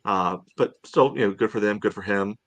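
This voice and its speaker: A man co-hosting a soccer podcast in casual discussion, thinking aloud in a halting way. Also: lowish voice.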